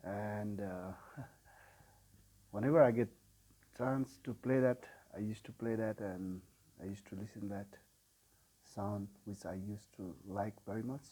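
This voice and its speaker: A man in his forties from Nepal with a Nepali accent, a sound healer telling his life story in an unhurried way, pausing often. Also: on the low side, fairly even intonation, dark tone, breathy edge. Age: 40s. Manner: unhurried, with frequent pauses and filler sounds. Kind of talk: personal life story told to camera.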